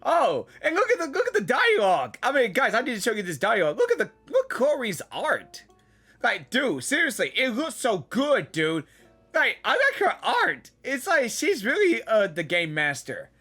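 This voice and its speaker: A woman gamer giving casual, excitable reaction commentary, often saying "like" and "dude". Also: a little high, moderate pace, slightly rough, soft background music. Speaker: gamer reacting to a game on camera.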